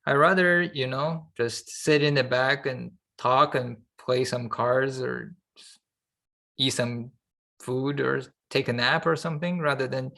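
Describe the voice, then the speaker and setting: A man co-hosting a podcast in casual, unscripted chat, halting as he thinks aloud. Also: medium pitch, gravelly, sharp tone. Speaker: podcast co-host.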